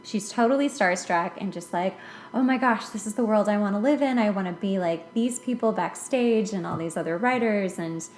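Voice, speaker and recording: A woman's voice: medium pitch, moderate pace. Female podcast host, casual and chatty, roomy sound with some background noise. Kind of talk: casual conversational discussion.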